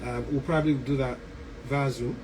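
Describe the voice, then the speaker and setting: A man's voice: medium pitch, moderate pace. A man hosting a radio book show, talking casually with frequent ums and repeated words. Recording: distant mic with steady background noise.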